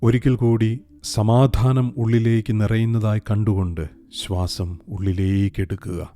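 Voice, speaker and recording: A man guiding a meditation, giving soft, calm instructions with long pauses. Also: fairly low pitch. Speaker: meditation guide. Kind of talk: guided meditation narration.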